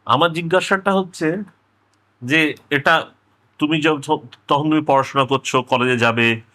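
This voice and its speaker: Bright, higher-pitched male interviewer. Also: a little slow. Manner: persistent, rephrases questions and interjects. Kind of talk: conversational interviewing.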